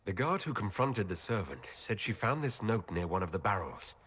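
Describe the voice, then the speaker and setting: A man's voice: lowish voice, big pitch swings, moderate pace, a bit bright, husky, exaggerated voice. An actor playing a serious, direct, diplomatic male elf leader in a video game. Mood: serious, inquiring.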